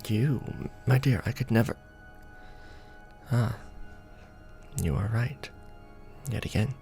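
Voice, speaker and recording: A man playing a courtly, doting vampire lord in an ASMR romance roleplay, speaking formally and deliberately with tender pauses. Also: mid-range voice, creaky, warm tone, faint music behind. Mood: thoughtful, hesitant.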